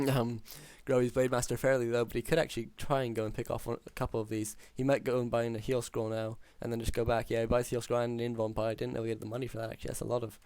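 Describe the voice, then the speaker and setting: Flat-voiced man calling a strategy match. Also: medium pitch, rapid-fire, clear, resonant tone, dry recording. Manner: steady, filler-heavy analytical play-by-play. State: hoarse. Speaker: game caster. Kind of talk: solo esports play-by-play commentary.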